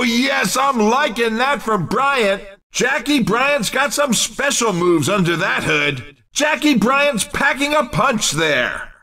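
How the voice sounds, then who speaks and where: An excitable arcade racing announcer, pitched extremely high and swinging widely in tone. He is cheeky and punchy, firing off playful exclamations at a measured pace as a video game race commentator. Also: distant mic, heavily acted.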